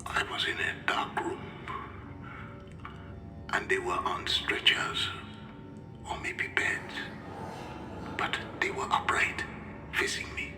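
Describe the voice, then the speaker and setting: A man playing a haunted, troubled character recording a game audio log in a halting, breathy whisper. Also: roomy sound, music in the background, close to an everyday voice. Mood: haunted, guilty. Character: haunted man recording memory of his nightmare.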